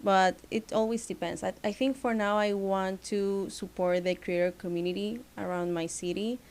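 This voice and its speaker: Venezuelan woman with a smooth, clear voice. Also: mid-range voice, normal speed. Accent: Venezuelan Spanish. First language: Spanish. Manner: relaxed, many "like" fillers, plain non-native phrasing. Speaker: studio founder, guest. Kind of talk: casual interview chat. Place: small podcast studio.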